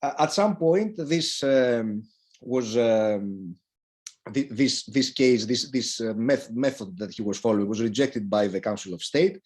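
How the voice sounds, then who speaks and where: A man giving an academic talk, halting and repeating words as he works toward his points. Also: medium pitch, a little mellow. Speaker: academic speaker. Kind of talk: lecture-style academic presentation.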